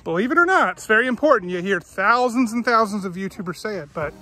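Family vlogger dad, bright and forward voice, chatty trail narration on a handheld camera. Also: male voice, high voice, lively intonation, fast talker, faint music behind. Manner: chatty, points things out as he walks. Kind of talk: casual vlog narration while hiking. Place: outdoor hiking trail in a coastal park.

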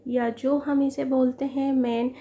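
A woman's voice: high-pitched, little pitch movement, measured pace, narrow, tinny audio, slight background noise. A woman in her thirties, a lifestyle vlogger who chats to viewers while unboxing a product at home, admitting she is no expert. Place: living room at home, indoors.

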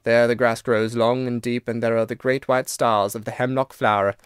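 A man's voice: mid-range voice, lively intonation, moderate pace, dry recording, clean studio sound, close to an everyday voice. A man voicing a weary, sorrowful old ghost from a storybook, dreamy and sighing in manner. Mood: sad, dreamy. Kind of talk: storybook narration character.

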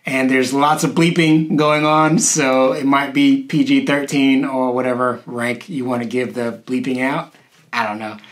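A man in his thirties hosting a video, explaining things casually to his viewers while seated in a small room. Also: mid-range voice. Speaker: YouTube host. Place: small indoor room, seated at a wooden table.

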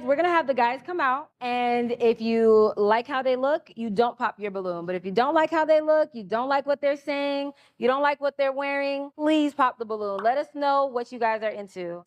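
A woman hosting a dating game, upbeat and directing the contestants. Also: high voice. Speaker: show host.

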